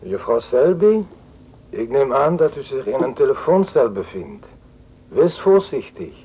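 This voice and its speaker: Dreigende, hese ontvoerder met wisselende toon. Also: male voice, medium pitch, very animated, unhurried, slightly rough. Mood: cold, commanding. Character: menacing, controlled male kidnapper on the telephone. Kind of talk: radio drama acting. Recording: dull, lo-fi telephone scene.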